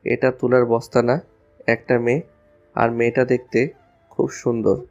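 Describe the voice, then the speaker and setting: A man's voice: mid-range voice, little pitch movement, normal speed, darkish tone, lo-fi sound, soft background music, lightly acted. A man playing a cheeky, lovestruck college student with a flippant, teasing way of talking in an audio love story. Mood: smitten.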